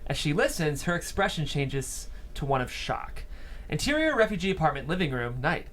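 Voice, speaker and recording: A man's voice: fairly high pitch, very animated, normal speed, a bit bright. A man reading stage directions as scripted narration at a screenplay table read.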